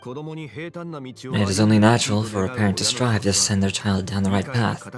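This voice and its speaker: A male actor plays a wise, courteous gentleman from a video game, low in pitch and composed. His phrasing is measured and formal, like a philosopher's counsel, with music clearly playing behind him. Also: natural voice. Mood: calm, reassuring.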